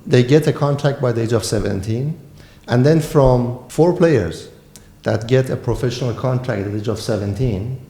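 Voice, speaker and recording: A man's voice: mid-range voice, normal speed, warm tone, roomy sound. Iranian-accented man, frank football coach. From Iran. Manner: frank and emphatic. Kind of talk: podcast interview.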